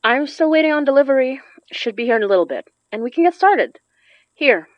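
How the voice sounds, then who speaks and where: An actress playing a young woman who works magic, tired, wry and protective, with a brisk, matter-of-fact delivery in a naturalistic audio drama. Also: sits high, big pitch swings, no echo, muffled recording. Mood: businesslike.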